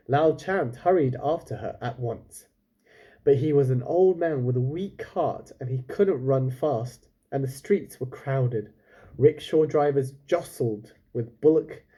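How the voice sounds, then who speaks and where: A man reading a children's story aloud as a teacher would, pausing to explain and wonder aloud to his listeners. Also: medium pitch, dark tone, husky.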